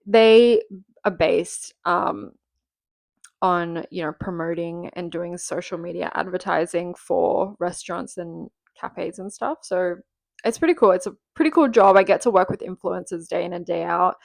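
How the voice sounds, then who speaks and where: Chatty woman in her twenties, gravelly. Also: fairly low pitch, a little slow, darkish tone, dry recording. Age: 20s. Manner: chatty and rambling, with frequent fillers and laughs. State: sick and a bit nasal. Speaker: podcast host. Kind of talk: casual solo chat. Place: home.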